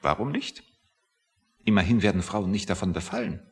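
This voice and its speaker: A man's voice: deep voice, moderate pace. An actor in a satirical radio play playing a pompous, fretful male civil servant with a querulous, suspicious manner. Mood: accusing.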